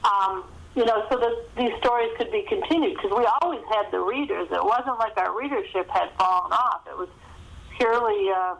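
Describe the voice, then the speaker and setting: Woman, guest author, medium pitch, conversational answers, thin telephone sound. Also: moderate pace, gravelly, fairly bright tone. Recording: thin phone-line sound.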